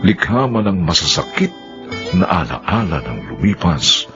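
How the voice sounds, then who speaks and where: A deep, creaky Filipino man announcing a radio drama over clear background music. His solemn, theatrical narration swings dramatically in pitch and moves deliberately. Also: sing-song. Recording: muffled radio broadcast with music bed.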